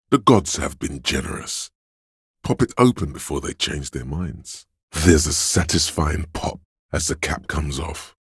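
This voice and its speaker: A man playing a seductive inner voice of addiction in a video game, narrating dramatically in a gravelly, savoring, coaxing way. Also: no room sound, studio quality, full character voice. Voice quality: gravelly, husky bass.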